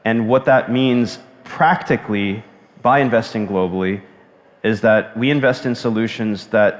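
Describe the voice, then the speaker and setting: A man introducing himself as an investor on a conference panel, speaking in a brisk, upbeat way. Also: fairly low pitch. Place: conference stage. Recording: long hall reverb.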